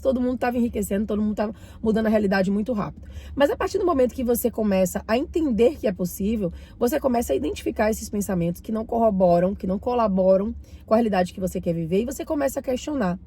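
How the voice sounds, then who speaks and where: A woman's voice: on the high side, husky. A woman from Bahia with a Bahian Brazilian accent, talking casually to her viewers as a channel host while still getting over a cold. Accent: Bahian Brazilian Portuguese. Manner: warm, chatty, addresses viewers directly. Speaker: mindset and finance channel host. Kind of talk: casual talk to camera, reading and commenting a book.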